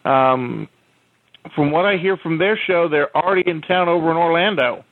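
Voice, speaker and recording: A man's voice: a little high, no room sound. Easygoing man over a tinny phone line. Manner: easygoing, many "uh" pauses. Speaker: podcast co-host. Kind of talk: casual podcast chat.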